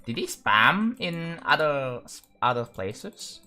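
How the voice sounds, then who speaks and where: Guy streaming and building a level, bright voice, keeps saying like and restarting. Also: a little high, very animated, slow. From Indonesia. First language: Indonesian. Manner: rambling, full of "like" and restarts, talking to chat. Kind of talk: live-stream chat while building a game level.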